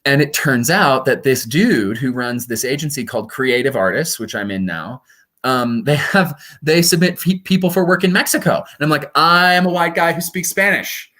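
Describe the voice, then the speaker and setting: A young man from Colorado, a stage performer, chatting casually in an interview with lively, self-deprecating asides. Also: on the high side, normal speed. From Colorado, USA. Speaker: guest performer.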